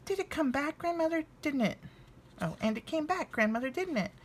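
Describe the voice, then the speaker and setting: A woman's voice: high-pitched, lively intonation, a little fast, slight background noise, pitched above the performer's own voice. A woman voicing an eager, curious little boy bear cub in a storybook.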